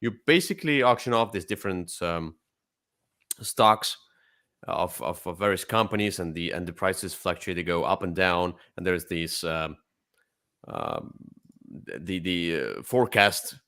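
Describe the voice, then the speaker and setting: Low-voiced man, bright and halting. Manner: enthusiastic, halting and repetitive. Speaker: co-host. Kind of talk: casual board game discussion.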